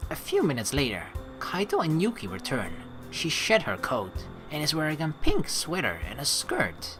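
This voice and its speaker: A woman's voice: deep voice, wildly swooping pitch, moderate pace, over music. A woman hosting a let's play, switching between reading game narration aloud and playful, teasing commentary.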